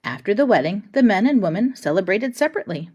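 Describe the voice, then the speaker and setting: A woman reads a children's picture book aloud at a middle pitch. She phrases each line deliberately and with expression, in the style of a storybook read-aloud. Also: sing-song, darkish tone, dull audio.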